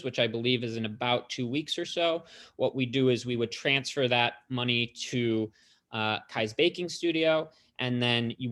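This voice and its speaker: Man calmly explaining a bond platform. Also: mid-range voice. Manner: steady explaining with frequent "um" fillers. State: slightly losing his voice, clearing throat. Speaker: investment platform representative. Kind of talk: explanatory walkthrough.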